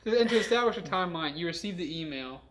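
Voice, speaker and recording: A man with a notably high voice acts as a comedy co-host. He chats casually and adds wry commentary, with faint noise behind him. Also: lively intonation, a little fast.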